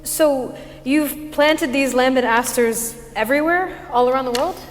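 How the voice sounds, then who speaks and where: Skeptical, inquisitive girl played by a woman, high voice, plain-spoken, theatre piece in a reverberant hall. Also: a little slow. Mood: curious. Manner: questioning, hesitant, plain-spoken. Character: curious, skeptical young girl visiting a spirit's garden. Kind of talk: musical theatre staged reading. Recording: echoey hall, faint music.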